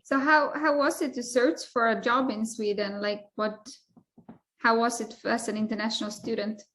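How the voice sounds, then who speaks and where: A woman's voice: fairly high pitch, fairly even intonation, moderate pace, a bit bright, a little hiss or hum. A woman interviewing a guest on a webinar, asking questions with frequent fillers. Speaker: interviewer from university staff.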